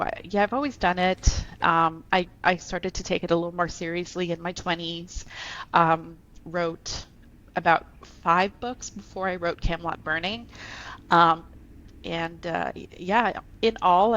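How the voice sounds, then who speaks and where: A woman's voice: fairly low pitch, a bit bright, lo-fi sound. A woman from Canada, an author, chatting about her writing as an interview guest with plenty of ums and restarts. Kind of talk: casual interview talk.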